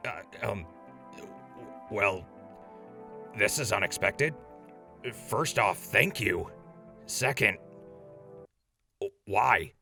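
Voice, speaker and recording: A man's voice: lowish voice, lively intonation, extremely slow, creaky, pauses often, pushed and bright, soft background music, heavily acted. A man playing an earnest, cheeky wasteland radio host in an audio drama, conversational and deferential. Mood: flustered. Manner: conversational, deferential, hesitant when flustered. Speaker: radio host interviewing a general.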